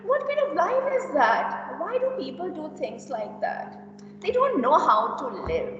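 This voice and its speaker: A woman performs a tactless young gossip in an amateur stage reading. The voice is high and very animated, taking its time over each judgment, in a muffled, echoey hall heard from far off. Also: unhurried, noisy background. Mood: gossipy, scornful. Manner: exaggerated, animated, giggly reactions. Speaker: teacher acting in a classroom reader's theater. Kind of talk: amateur reader's theater performance.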